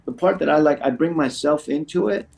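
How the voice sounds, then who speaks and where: A man's voice: fairly high pitch, fairly quick, creaky, darkish tone. A middle-aged man chatting as an interview guest, a recovery counselor who rambles and often says "you know". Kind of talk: conversational interview, sharing personal anecdotes.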